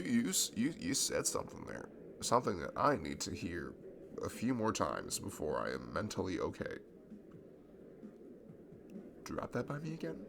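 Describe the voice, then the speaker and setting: A man acting a nervous, smitten young man in an intimate ASMR roleplay, speaking in a halting, stammering, bashful way. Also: on the low side, vocal fry, fairly bright tone, slight background noise, full character voice. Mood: incredulous, elated. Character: nervous, smitten young man confessing to his childhood best friend.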